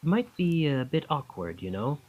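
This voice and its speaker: A man's voice: fairly high pitch, big pitch swings, normal speed, narrow, tinny audio. A man playing a charming young college student who is secretly a possessive, otherworldly captor, in an intimate, coaxing ASMR roleplay. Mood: friendly, coaxing.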